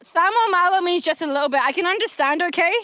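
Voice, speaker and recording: A woman's voice: very high voice, fast, bright, forceful tone, no echo. A young Scottish woman calling in to a radio show, bubbly and laughing as she chats. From Scotland. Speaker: caller. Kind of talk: casual chat on a radio phone-in.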